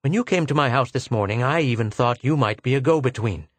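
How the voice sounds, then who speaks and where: A man's voice: medium pitch, brisk, no echo, lightly acted. A man voicing a weary, haggard older physicist who speaks in a grim, earnest way.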